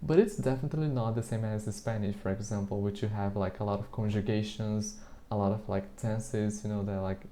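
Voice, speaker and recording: Portuguese-native guy, soft mellow voice, keeps saying like, hesitant but chatty. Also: fairly low pitch, steady tone, brisk, slight background noise. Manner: chatty, hesitant, leans heavily on the filler "like". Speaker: interviewer practicing English. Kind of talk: casual street conversation.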